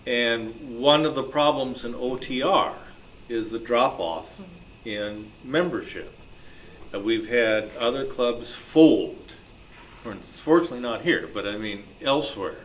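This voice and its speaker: A man with a mid-pitched voice moderates an informal panel at a club meeting. He speaks haltingly and deliberately, feeling his way through each question. There is light noise in the recording. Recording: noisy live meeting room recording.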